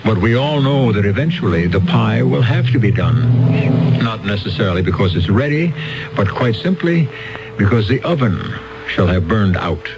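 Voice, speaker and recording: A male host introduces and narrates a radio mystery in a measured, theatrical way. His voice is fairly low, with loud music playing underneath. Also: lively intonation, poor audio. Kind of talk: scripted narration.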